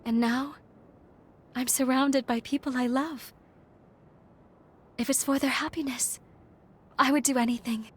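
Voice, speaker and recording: An actress playing a gentle young woman fighter in a game cutscene, sincere and heartfelt. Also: high-pitched, steady tone, measured pace, pauses often, a little hiss or hum. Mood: earnest, tender. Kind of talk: dramatic game cutscene dialogue.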